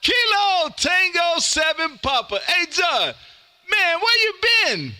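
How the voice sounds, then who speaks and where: High-pitched, bright, boisterous man. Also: very animated, slow pace, no room sound, clean studio sound. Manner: boisterous, folksy, jokey. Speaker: amateur radio host, live streamer. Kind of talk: animated ham radio contact calls and banter. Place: home radio room.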